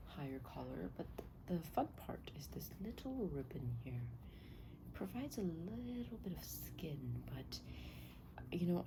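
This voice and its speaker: Low, soft-spoken ASMR woman. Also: noisy background. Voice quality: soft, breathy, often dropping into a whisper. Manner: gentle, polite, attentive, unhurried. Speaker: ASMR performer roleplaying a personal stylist.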